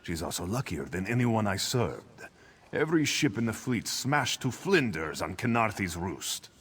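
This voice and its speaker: An actor playing a gruff, sardonic privateer sailor in a fantasy video game, salty and theatrical. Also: male voice, lowish voice, sing-song, moderate pace, a bit bright, exaggerated voice. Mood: proud, sly. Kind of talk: scripted video game dialogue.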